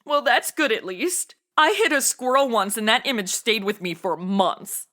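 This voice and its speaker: A woman's voice: on the high side, lively intonation, normal speed, pushed and bright. A woman playing a bubbly, tactless young amateur sleuth in a comedy audio drama, blurting things out in a gushing, flippant way. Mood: tactless, excited.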